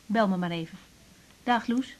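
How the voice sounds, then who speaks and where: An actress playing a tired, caring young woman doctor in a naturalistic radio drama, speaking haltingly and sometimes murmuring. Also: medium pitch, a little mellow, natural voice. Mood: professional, concerned. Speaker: general practitioner in a radio drama. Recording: clear tape hiss on radio recording.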